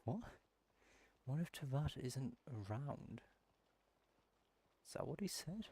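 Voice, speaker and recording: A man's voice: mid-range voice, sing-song, takes it slowly, mellow, husky. A man giving casual gameplay commentary, muttering at himself in exasperation. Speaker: gameplay streamer.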